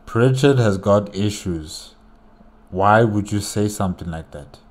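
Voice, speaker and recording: A man giving casual, off-the-cuff commentary as a reaction host, in a reflective, halting manner. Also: fairly low pitch, soft background music.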